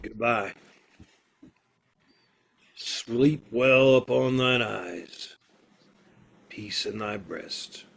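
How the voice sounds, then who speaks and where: Man acting a slow, halting condemned prisoner. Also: mid-range voice, creaky, a bit bright, exaggerated voice. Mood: solemn, resolute. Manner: hesitant, halting delivery. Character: condemned male prisoner, halting, tender, quietly brave. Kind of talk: old-time radio play acting. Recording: home video-call recording with music.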